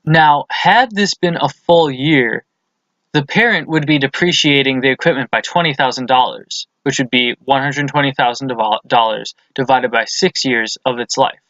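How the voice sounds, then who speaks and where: A man's voice: medium pitch, moderate pace, fairly bright tone, no echo. Male tutorial presenter, methodical scripted explainer read, dry and slightly muffled lo-fi voice-over. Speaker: accounting lesson presenter. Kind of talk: scripted instructional narration.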